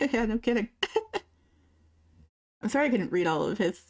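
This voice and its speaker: A woman's voice: a little high, animated, slow. A woman chatting casually as co-host of a let's play. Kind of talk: casual chat during gameplay.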